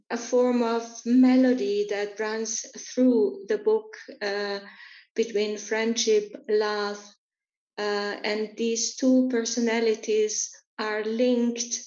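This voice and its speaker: A woman's voice: mid-range voice, darkish tone. A woman interpreting an interview into English, hesitant and full of filler sounds. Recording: roomy, dull lo-fi recording.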